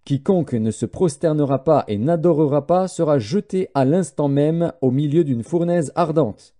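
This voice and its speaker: A man's voice: a little high, normal speed, mellow, lightly acted. A man voicing a stern royal herald proclaiming decrees in a scripture audiobook. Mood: commanding.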